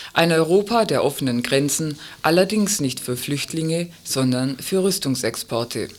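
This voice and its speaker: A man's voice: fairly high pitch, fairly bright tone. A man reads a scripted magazine report as a radio presenter.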